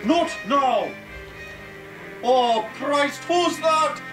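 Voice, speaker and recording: A man's voice: extremely high pitch, extremely slow, music in the background, heavily acted. A man playing an edgy, bossy mob informant in an old-time radio drama. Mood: panicked.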